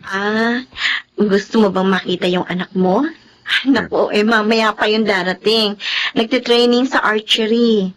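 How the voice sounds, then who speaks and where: A woman's voice: medium pitch, fairly even intonation, measured pace, close to an everyday voice, rough recording. A woman with a Filipino accent playing a forgiving, composed ex-girlfriend and mother in a TV drama. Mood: chatty, welcoming.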